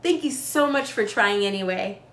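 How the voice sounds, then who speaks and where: Lady doing nice encouraging man from a kids book, gentle, measured, roomy echo. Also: mid-range voice, animated, voice pushed down. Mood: consoling. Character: kindly, encouraging grown man in a storybook.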